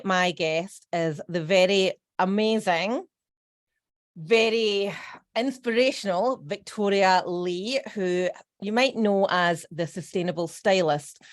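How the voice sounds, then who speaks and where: A middle-aged woman hosting a podcast, bubbly and emphatic as she introduces and questions her guest. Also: fairly low pitch. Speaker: podcast host. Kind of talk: casual podcast interview.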